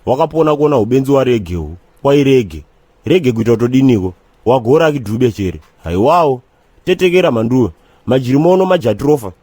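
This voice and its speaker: A man voicing a cantankerous, streetwise character who rants and rambles argumentatively in slang in an animated comedy. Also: medium pitch, fast, no room sound, lightly acted. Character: cantankerous, streetwise man ranting comically about his family.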